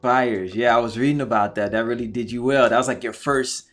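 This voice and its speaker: A man's voice: medium pitch, steady tone, fairly quick, fairly bright tone, soft background music. A man hosting a casual talk show interview, chatty and hyped, repeating himself often.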